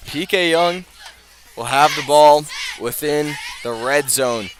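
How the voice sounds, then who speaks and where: An American man calling live high school football on the radio, measured between plays and emphatic on the big ones. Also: noisy background. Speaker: play-by-play announcer.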